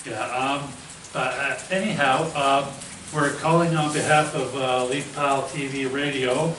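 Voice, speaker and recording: A man's voice: mid-range voice, fairly even intonation, unhurried, a bit bright. A man hosting a radio show and interview in a casual, goofy, rambling way. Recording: roomy, far from mic, noisy.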